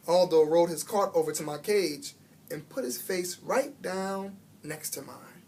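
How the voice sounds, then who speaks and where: An American man narrates a children's storybook. His delivery is expressive and dramatic, with emphatic phrasing that acts out the story as he goes. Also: high voice, a little slow, slight background noise. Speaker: children's book reader.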